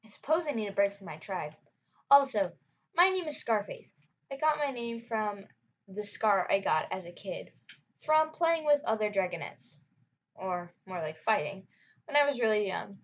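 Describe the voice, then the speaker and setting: A woman's voice: medium pitch, very animated, normal speed. A grumpy, scarred young female nightwing dragon, voiced by a woman for a read-aloud storybook. She speaks bluntly and keeps her guard up. The recording is dull and lo-fi.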